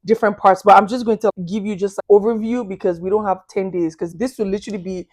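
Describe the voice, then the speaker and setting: A woman in her thirties with a Nigerian accent shares her story as a podcast guest. She speaks openly and with animation, in a warm mid-range voice. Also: mid-range voice, quick pace. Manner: candid, animated, laughs often. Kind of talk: casual testimony.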